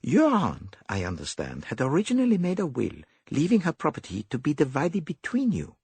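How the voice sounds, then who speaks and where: A man's voice: fairly high pitch, wildly swooping pitch, moderate pace, a little mellow, husky, dry recording, voice pushed up, heavily acted. A man voicing a fussy, silky detective with a put-on French accent. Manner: precise, silky, probing.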